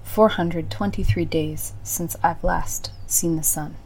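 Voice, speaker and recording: A woman playing a wistful, solemn survivor of a post-apocalyptic world in a halting, reflective audio drama monologue. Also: lowish voice, fairly even intonation, a little mellow, slight background noise, rough recording. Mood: somber.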